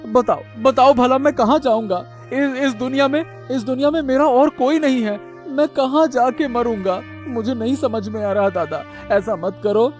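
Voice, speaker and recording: A man's voice: very high voice, moderate pace, hardly any pauses, a little mellow, soft background music. A man voicing a meek, devoted and helpless younger brother in a cartoon story, repetitive, stammering and pleading. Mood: desperate pleading. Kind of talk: Hindi moral-story cartoon dubbing.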